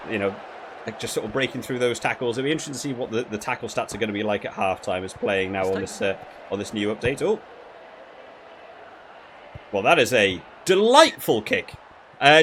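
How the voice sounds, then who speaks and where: A man's voice: medium pitch, fairly bright tone. Man doing casual game commentary over background noise. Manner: rambling, thinking aloud with frequent hedging. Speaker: gaming video creator reviewing a rugby game.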